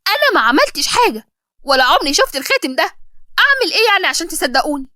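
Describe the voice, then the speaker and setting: Woman acting a high, anguished young heroine. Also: quick pace, fairly bright tone, no echo, studio quality. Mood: indignant. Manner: pleading, emotional. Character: wronged, anguished young woman, defiant and tearful.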